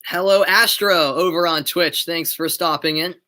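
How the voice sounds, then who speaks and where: A man's voice: a little high, steady tone, moderate pace, bright, forceful tone. A young American man giving live play-by-play commentary from his bedroom, chatting with viewers between plays and building up on shots. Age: young adult. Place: bedroom at home.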